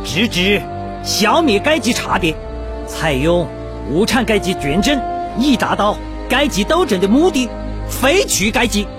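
An actor playing an earnest male revolutionary delegate in a historical radio drama, speaking in a measured, declamatory way. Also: high-pitched, full character voice. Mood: solemn, declamatory.

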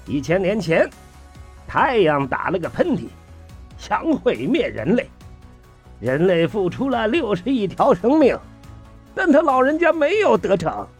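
A man playing a fiery station director in an audio drama, delivering a grandiose, declamatory political speech in a husky, strained voice. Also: high-pitched, heavily acted.

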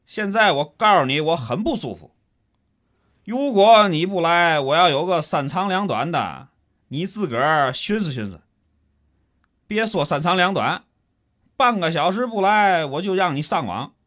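A man's voice: a little high, animated, measured pace, fairly bright tone. A man acting a stranded commuter in a comic radio drama, whiny, pushy and insistent, with a Tianjin accent. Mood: threatening. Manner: complaining, insistent, colloquial.

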